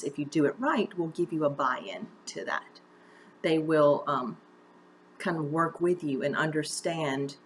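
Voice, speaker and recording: Woman, drama teacher with a low-pitched voice, instructing step by step in a conversational way; slight background noise. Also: fairly bright tone. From United States. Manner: conversational, encouraging step-by-step instruction with direct questions. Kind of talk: instructional video mini-lesson.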